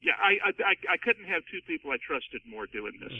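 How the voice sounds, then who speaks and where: A man speaking as an author in a casual panel discussion, in a halting way that circles back over his words. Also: a little high, sing-song, distant mic. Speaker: author being interviewed. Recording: thin phone-like band, dry.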